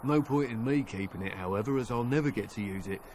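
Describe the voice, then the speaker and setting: An actor playing a nervous, chatty merchant who rambles and wheedles over game music. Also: male voice, medium pitch, fast talker, darkish tone, a little hiss or hum, lightly acted. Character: nervous chatty male merchant, middle-aged. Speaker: merchant character.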